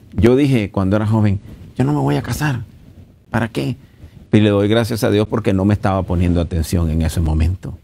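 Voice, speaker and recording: A man's voice: lowish voice, mellow. A middle-aged man with a Central American accent preaching conversationally as a pastor, earnest and speaking directly to his listeners. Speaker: pastor teaching at a marriage retreat.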